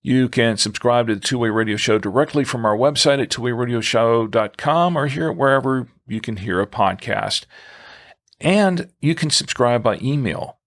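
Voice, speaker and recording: Male podcast host, halting conversational delivery. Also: mid-range voice, fairly bright tone. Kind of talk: conversational podcast hosting with listener mail.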